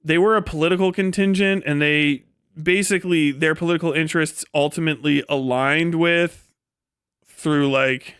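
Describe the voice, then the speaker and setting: A man's voice: fairly high pitch, steady tone, normal speed, a bit bright, clear, resonant tone, no echo, studio quality. A man livestreaming casual, opinionated talk, blunt and profane, rambling through explanations that mix formal vocabulary with slang. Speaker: live streamer.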